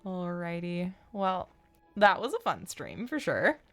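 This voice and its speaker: A woman hosting a game livestream, chatting casually in an animated, playful, rambling way. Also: fairly low pitch, takes it slowly. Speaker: game streamer and host. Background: background music.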